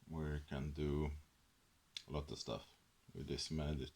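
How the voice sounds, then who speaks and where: A Swedish-native man in a soft-spoken ASMR book chat, rambling and hesitant with many fillers, sometimes dropping into a whisper. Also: very deep voice, little pitch movement, unhurried, creaky, breathy edge. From Sweden. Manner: drifts into whispers. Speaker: ASMR host.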